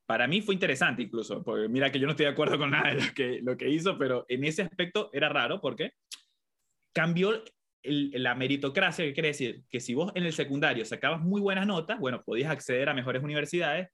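A man's voice: fairly high pitch, lively intonation, fast talker, sharp tone. A young man from Venezuela with a mixed Venezuelan, Colombian and Argentine Spanish accent, telling his life story reflectively as an interview guest. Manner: tells anecdotes, acts out childhood voices.

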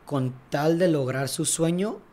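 A man's voice: on the high side, darkish tone. A young man, a tennis coach and guest, telling his story in a casual podcast chat and often asking "¿sabes?". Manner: reflective, fast storytelling with frequent "¿sabes?".

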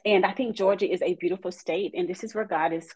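Woman guest, low pitch, dry close sound, warm chatty storyteller. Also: steady tone, fast talker, slightly rough, no echo. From East Texas. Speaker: guest counselor. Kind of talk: casual podcast chat.

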